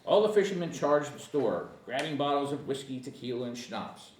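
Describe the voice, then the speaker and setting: A man's voice: medium pitch, lively intonation, moderate pace. A man reading scripted stage directions as narrator at a screenplay table read. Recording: echoey room, distant mic, faint noise.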